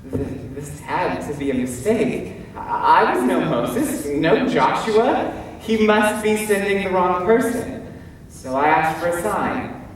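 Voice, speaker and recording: A reverberant, distant hall recording with faint noise carries a male actor as a biblical warrior giving a stage monologue. His voice is high, theatrical and emphatic. He is fearful and doubts himself, with deliberate pauses and hesitations. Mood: fearful, doubting.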